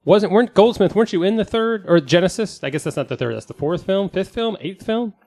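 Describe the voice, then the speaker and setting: A man's voice: high voice, lively intonation, fairly quick, darkish tone. A man hosting a podcast in casual, joking group chat, rambling and correcting himself as he goes. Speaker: podcast host.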